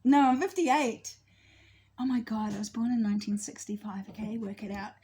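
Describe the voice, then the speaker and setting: A woman's voice: a little high, moderate pace, dark tone, slight background noise. A woman in her fifties talking casually to her viewers about astrology, rambling, losing her thread and correcting herself as she goes. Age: 50s. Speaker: astrology vlogger. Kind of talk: casual spoken monologue to camera.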